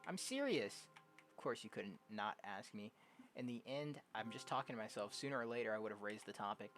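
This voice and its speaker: A young adult game streamer casually reading visual novel dialogue aloud, jokey and prone to loud exclamations. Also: medium pitch, fairly quick. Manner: animated, jokey, exclaims loudly. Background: game music.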